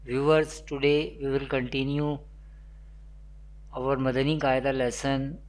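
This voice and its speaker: A Quran teacher, giving an online lesson in a slow, repetitive teaching manner, with Arabic recitation. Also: mid-range voice, level tone, a little mellow.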